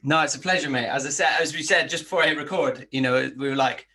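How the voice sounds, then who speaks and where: A man's voice: mid-range voice, quick pace, pushed and bright, breathy edge. A man hosting a podcast in a casual, matey and enthusiastic interview style. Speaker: podcast host.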